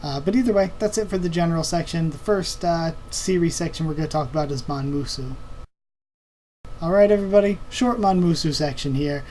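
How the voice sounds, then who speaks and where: Higher-voiced man, informal and halting, noisy recording. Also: a little mellow. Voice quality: voice tiring, slightly strained. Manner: informal, halting, thinking aloud. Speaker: online video host. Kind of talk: casual Q&A commentary on anime and comics.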